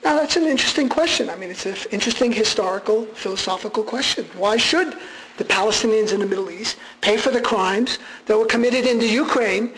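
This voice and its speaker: A man's voice: high-pitched, fairly quick, fairly bright tone, a little hiss or hum, low-quality recording. A man whose first language is Armenian, giving a conversational public lecture with frequent restarts and fillers.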